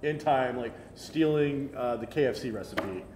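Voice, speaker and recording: A man's voice: mid-range voice, normal speed, darkish tone, slight background noise. A middle-aged American man co-hosting a casual geek talk show, emphatic and sardonic in his opinions. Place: indoor room at a table. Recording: echoey room.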